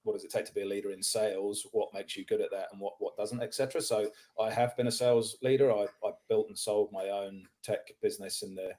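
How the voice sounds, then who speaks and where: A man's voice: fairly low pitch, steady tone, quick pace, few pauses, darkish tone. A man in his fifties from England, with a mixed English and New Zealand accent, talking as a podcast guest about his career in tech sales, with many ums and restarts. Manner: self-deprecating, many ums and restarts, clears throat often. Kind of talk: casual interview talk.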